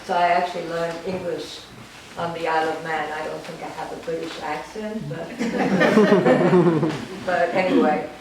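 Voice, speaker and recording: An older woman, a German native speaker from Romania, giving an informal talk about her life, halting and rambling with lots of fillers and wry self-deprecating humor. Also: deep voice, fairly even intonation, a crowd in the background. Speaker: artist giving a gallery talk. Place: art gallery room. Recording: echoey gallery, distant, noisy ambience.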